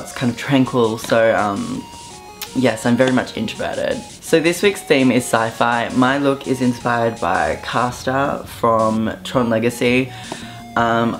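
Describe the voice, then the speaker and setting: A young man from Southeast Queensland with an Australian accent, talking casually to camera with an offhand, relaxed manner and frequent ums. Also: medium pitch. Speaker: vlogger. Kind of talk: casual vlog monologue.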